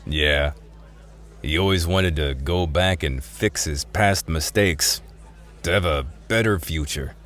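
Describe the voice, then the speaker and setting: An actor playing a streetwise reformed thief in a radio drama, with a casual, wry way of talking. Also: male voice, a bass voice, slow, a bit bright, exaggerated voice. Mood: flirtatious, wry. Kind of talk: radio drama acting and first-person narration.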